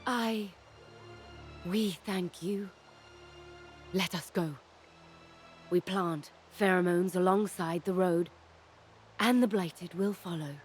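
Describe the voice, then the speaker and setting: A woman voicing a gentle, solemn plant creature who nurtures and makes peace, speaking in halting, simple phrases in a fantasy game. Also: fairly low pitch, soft background music. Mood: solemn, grateful. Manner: slow, halting, simple speech with plural self-reference.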